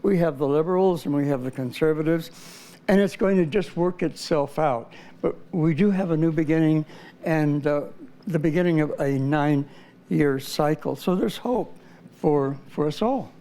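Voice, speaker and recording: Hesitant mellow-voiced male guest. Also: on the high side, moderate pace. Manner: hesitant, many uh fillers and restarts. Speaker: numerology guest. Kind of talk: explanatory interview talk.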